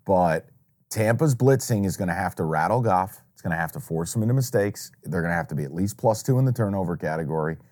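A man's voice: low-pitched, animated, fast talker, a little mellow. An American man co-hosting a sports betting show with casual, opinionated banter, blunt and dramatically emphatic.

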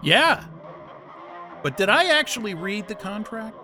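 Brainwashed captive in an audio drama: suggestible, over-eager, bright and strained. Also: male voice, high-pitched, big pitch swings, measured pace, soft background music, full character voice. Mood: agreeable, compliant. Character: brainwashed male captive, pliant, eager and dazed.

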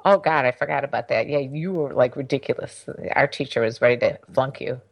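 A woman's voice: rumbling low, fairly quick, vocal fry, muffled recording. A middle-aged woman co-hosting a casual podcast, chatty and teasing, rambling with restarts. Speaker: podcast co-host, sex expert. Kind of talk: casual chat.

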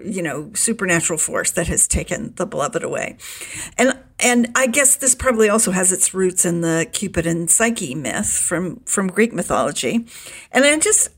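A woman hosting a podcast, asking questions in a conversational book interview. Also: lowish voice.

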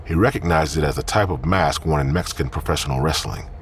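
A man narrating scripted crime fiction in a grim, deliberate storytelling manner. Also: very deep voice, a little hiss or hum.

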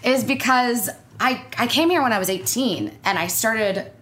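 A woman's voice: fairly high pitch, moderate pace, a bit bright. A woman in her twenties, an actor and screenwriter, chatting as an interview guest with lots of restarts and small laughs. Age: 20s. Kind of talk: casual interview chat.

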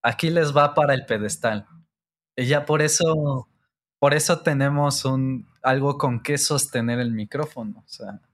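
A man's voice: mid-range voice, measured pace. A man with a Mexican accent talking in a laid-back, slangy way as a guest in an interview. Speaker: guest, co-creator of a Spanish-learning channel. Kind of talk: casual interview chat.

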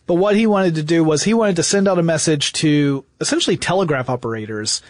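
A man's voice: on the high side, a little fast, no room sound. A man hosting a podcast, explaining technology history in conversation with enthusiasm and hypothetical examples. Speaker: podcast host.

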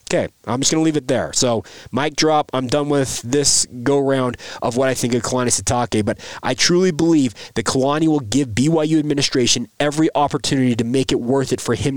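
A man with a slightly raspy, cold-hoarsened voice hosting a sports podcast solo, talking straight to listeners in an emphatic, conversational way. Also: medium pitch, no echo. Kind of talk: solo sports talk with read-out sponsor spots.

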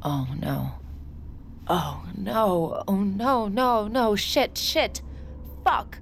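Anxious, creaky-voiced woman on edge. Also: mid-range voice, very animated, extremely slow, a little hiss or hum, full character voice. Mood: panicked. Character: Sid, anxious wounded adult daughter on edge. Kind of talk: audio drama acting.

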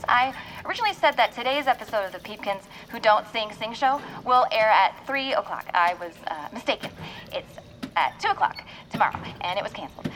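A woman playing a bumbling, flustered radio show host in an audio comedy, halting and correcting herself. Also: fairly high pitch, a bit bright, slight background noise, rough recording. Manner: halting, muddled, self-correcting.

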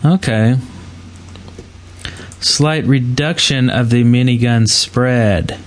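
A man with a middle-pitched voice co-hosts a gaming podcast. His delivery is casual and conversational, like relaxed chat between regulars. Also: slow. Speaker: podcast co-host.

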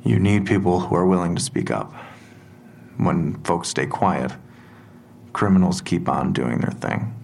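Gravelly, very deep-voiced police detective. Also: male voice, expressive, a little mellow, a little hiss or hum, heavily acted. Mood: probing, grave. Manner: measured, probing, terse questioning. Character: stern male police detective pressing a suspect. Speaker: police interrogator. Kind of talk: full-cast audio drama acting.

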